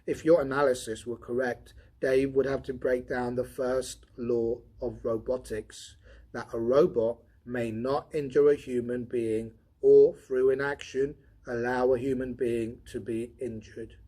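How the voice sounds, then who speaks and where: A man's voice: medium pitch, fairly even intonation, measured pace, warm tone, close to an everyday voice. A man reading an audiobook as a brusque, skeptical robot technician, speaking in a dry, methodical, impatient way. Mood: dismissive. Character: brusque, logical, skeptical male senior robot field technician. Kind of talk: audiobook character dialogue.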